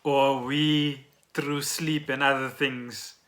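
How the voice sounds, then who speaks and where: A man's voice: mid-range voice, level tone, slow, fairly bright tone. A middle-aged South African man co-hosting a podcast, chatting casually and asking questions. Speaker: podcast co-host.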